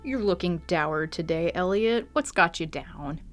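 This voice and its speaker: A woman plays an ambitious, mocking bounty hunter in an audio drama. Her voice is on the low side, dry and self-assured, teasing and unbothered. Music runs underneath. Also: measured pace, lightly acted. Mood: breezy, teasing.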